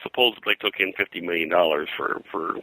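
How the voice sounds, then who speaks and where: Deep, very bright-voiced older man, halting. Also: dry recording, poor audio. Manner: halting, discursive, hesitant phrasing. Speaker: interview guest, pop culture historian. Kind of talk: casual interview, anecdotal storytelling.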